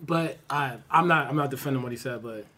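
A man's voice: mid-range voice, a little fast, pushed and bright, slight background noise. A man co-hosting a casual podcast, teasing the others and laughing often. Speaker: podcast co-host. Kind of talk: casual chat.

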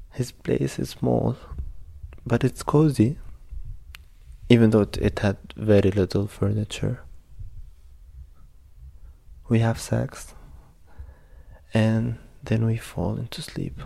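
Young man from Egypt, first-person storyteller, dark mellow tone with fry. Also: lowish voice.

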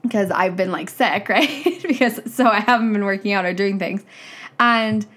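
A woman's voice: mid-range voice, normal speed, fairly bright tone. Stuffy-nosed woman coaching casually. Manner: chatty, frank, says "like" and "right?" often. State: stuffy, nasal from a cold. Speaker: business coach. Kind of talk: casual podcast monologue.

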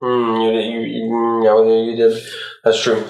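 A man playing a bumbling small-town policeman in an improvised comic radio play, halting and repeating his words, recorded in an echoey room. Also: mid-range voice, monotone, darkish tone, muffled recording, natural voice. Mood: dismissive, muddled.

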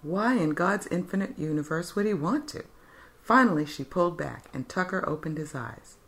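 A woman narrates a fiction story in a scripted, dramatic style. Her voice is notably low for a woman. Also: animated, moderate pace, a bit bright.

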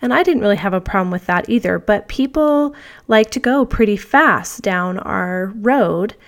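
A woman's voice: mid-range voice, lively intonation, moderate pace. A woman in her thirties or forties hosting her own podcast, talking in a chatty, upbeat way with fillers, her voice nasal from a cold. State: stuffy nose from a cold. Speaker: podcast host. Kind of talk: casual solo podcast monologue.

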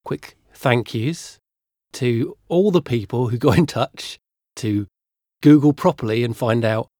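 A man's voice: mid-range voice, measured pace, mellow, dry recording, clean studio sound. A man co-hosting a podcast, chatting in a teasing, laid-back way. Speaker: podcast co-host. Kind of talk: casual chat.

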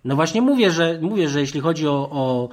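A middle-aged man giving conversational football punditry as a panelist, haltingly, often breaking off and circling back to his point. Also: a little high, animated.

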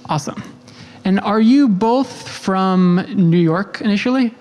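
A woman's voice: mid-range voice, unhurried, a little mellow, a little hiss or hum. A woman hosting a podcast, chatty and upbeat, with plenty of fillers. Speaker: podcast host. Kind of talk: casual chat and intro monologue.

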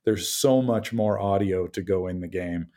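A man with a deep voice, a game designer talking casually in an interview, relaxed and full of fillers. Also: moderate pace. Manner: relaxed, chatty, many fillers and restarts.

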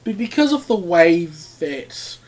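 A man co-hosting a podcast, halting and easily amused, often restarting his sentences. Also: high voice, very animated, a little mellow. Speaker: podcast co-host. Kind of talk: casual podcast banter.

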